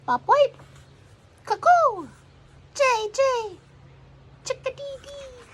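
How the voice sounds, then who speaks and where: A woman voicing small excitable birds with singsong chirps and calls in a children's storybook. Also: very high voice, drawn-out words, pitched above the performer's own voice, full character voice. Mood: chirpy, excited.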